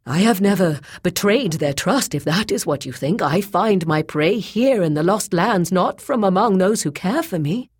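Woman acting an urgent, proud male shapeshifter, husky-voiced. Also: fairly low pitch, lively intonation, normal speed, few pauses, voice pushed up. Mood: wounded, passionate. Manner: urgent, imploring, intense delivery. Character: proud, passionate male shapeshifter, pleading wildly.

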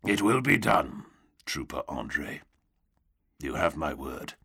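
A grim armoured warrior-priest, played by a male narrator, speaks in a low, bleak drawl. He intones his lines tersely and solemnly, with the weight of a commander giving a sermon in a war story. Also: sing-song, takes it slowly, pushed and bright, slightly rough, exaggerated voice.

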